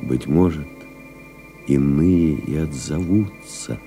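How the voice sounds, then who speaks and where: An actor playing a reflective male pilot in an audio play, delivering a measured, contemplative literary monologue. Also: a bass voice, over loud music, full character voice. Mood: wistful, lyrical. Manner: measured, contemplative, deliberate phrasing. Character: reflective male French pilot recalling his flights. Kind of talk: dramatic literary monologue, scripted narration.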